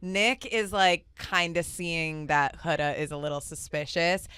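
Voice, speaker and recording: A woman's voice: lowish voice, unhurried, sharp tone, clean tone, dry recording. A young woman in her twenties hosting a casual podcast, giggly and full of "like", on a studio mic in a small studio. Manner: giggly, lots of "like". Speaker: podcast host. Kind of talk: casual chat. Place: small studio with green booth seating. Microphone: studio mic with headphones.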